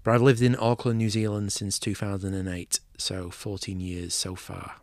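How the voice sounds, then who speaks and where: Mancunian guy hosting a movie podcast, lowish voice, chatty film nerd, dry close sound. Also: flat delivery, moderate pace, no room sound. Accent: Mancunian English. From England. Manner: chatty, enthusiastic movie fan. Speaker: podcast host. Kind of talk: scripted intro then casual interview.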